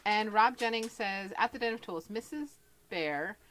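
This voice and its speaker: A woman co-hosting a casual live chat, reading out viewer comments in a soft-spoken, laid-back way. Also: mid-range voice, normal speed.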